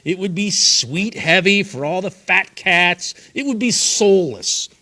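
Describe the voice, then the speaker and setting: A man's voice: high voice, lively intonation, a little slow, sharp tone. A man hosting a sports talk show, upbeat and emphatic, punching his points. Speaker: host.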